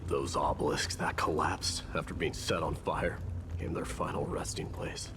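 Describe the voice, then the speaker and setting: A man playing a solemn warrior prince in a video game, recounting fallen comrades in a measured, dramatic way. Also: rumbling low, steady tone, very noisy. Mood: solemn grief. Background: music.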